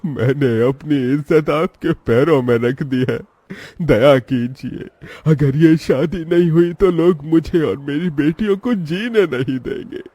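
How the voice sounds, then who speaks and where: A man's voice: fairly high pitch, a little slow, dark tone, dry recording. A man voicing a poor, devoted village father of five daughters in a cartoon drama, talking earnestly and pleading. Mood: begging.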